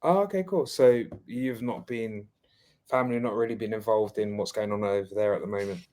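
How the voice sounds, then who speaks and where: A man's voice: fairly low pitch, fairly quick, a little mellow. A man interviewing a guest in casual chat, saying "okay, cool" often and repeating phrases.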